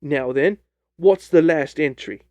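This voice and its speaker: Blustering Victorian poultry seller, cocksure and combative, raised forceful delivery performed by a male narrator; storybook character. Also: fairly high pitch, slow pace, no echo, muffled recording. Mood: smug, insistent. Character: surly, cocksure Victorian poultry salesman, quick to anger. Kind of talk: audiobook character voice.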